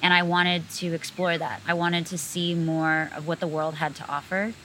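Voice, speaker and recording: A woman from the United States talks in a casual conversation, fluent and earnest, with a fair number of "like" fillers. Her voice sits low but has a bright, clear edge. A faint hiss runs under the recording. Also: level tone, normal speed, poor audio.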